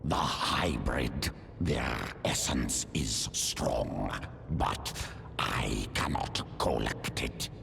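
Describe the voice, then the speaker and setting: A hulking primal creature from a sci-fi game. The voice is extremely deep and thick with gravel. Each terse, solemn phrase crawls out very slowly, with noise behind it. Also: male voice, expressive, fairly bright tone, full character voice. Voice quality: gravelly growl. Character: huge, primal alien creature obsessed with essence. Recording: noisy game ambience behind.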